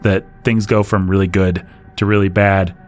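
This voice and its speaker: Male podcast host, low register, easygoing conversational delivery over soft background music. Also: flat delivery, moderate pace. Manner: conversational, laughs while talking. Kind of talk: casual discussion.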